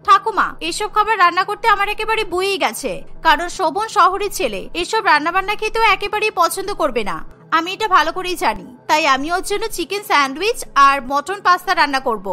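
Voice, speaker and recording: A spoiled, petulant young city girl, voiced by a woman, extremely high and sharp in tone. She whines and insists in cartoon style over background music. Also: animated, a little fast, full character voice. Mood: petulant, defiant. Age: young adult. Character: spoiled, sulky young city granddaughter, greedy and scheming. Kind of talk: cartoon story character voice. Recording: music behind the voice.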